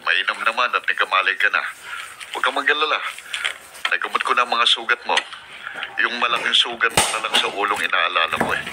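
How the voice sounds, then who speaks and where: An actor playing a kindly beach hut owner in a Filipino radio drama, calm and reassuring, with a Filipino accent. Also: male voice, lowish voice, fairly quick, long pauses, natural voice. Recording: noisy radio drama recording.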